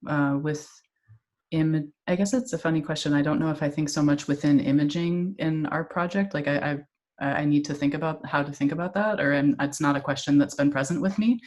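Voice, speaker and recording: A woman artist guest answering tentatively and thinking out loud. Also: sits low, steady tone, brisk. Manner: tentative, many backchannels. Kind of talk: casual conversation.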